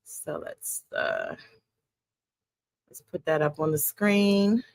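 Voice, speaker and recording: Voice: mid-range voice, huge pitch swings, takes it slowly, vocal fry, no echo. Upbeat online radio show host, dry sound. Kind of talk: casual livestream hosting. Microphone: streaming setup, computer mic.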